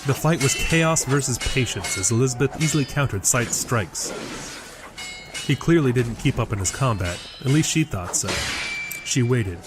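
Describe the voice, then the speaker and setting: Male storyteller over loud music. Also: medium pitch. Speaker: narrator. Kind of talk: scripted audio drama narration.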